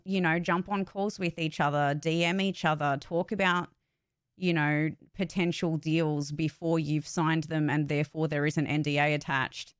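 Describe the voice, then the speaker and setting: A woman with a fairly low voice talks in a casual, candid livestream style. She is blunt and emphatic and swears casually. The sound is dry and close, with very little room in it. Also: clear, resonant tone, no echo. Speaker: voice actor and channel host.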